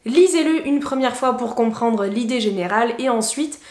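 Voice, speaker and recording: A woman's voice: fairly high pitch, lively intonation, normal speed, a little mellow, roomy sound. A woman English teacher, giving casual, encouraging tips to camera with plenty of fillers. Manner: chatty, encouraging, many fillers.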